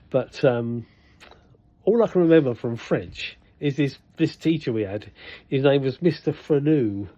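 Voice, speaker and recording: A man's voice: mid-range voice, warm tone. An older man, chatting casually and rambling in a vlog, full of fillers and restarts. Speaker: vlogger. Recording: dull lo-fi sound.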